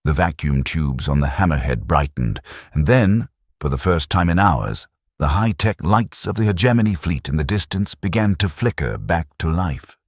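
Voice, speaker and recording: Brief: grave audiobook narrator, man, very deep and husky. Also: lively intonation, moderate pace, no echo, clean studio sound. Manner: grave, dramatic storytelling.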